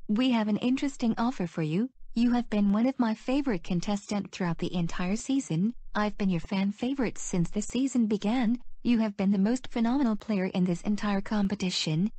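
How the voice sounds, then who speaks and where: A cartoon game show host, played by a woman with a mellow, dark-toned voice. She talks effusively and circles back over her offer, recorded dry and close. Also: mid-range voice, dry recording, natural voice. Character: female game show host, enthusiastic and warm. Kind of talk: amateur animated show voice acting.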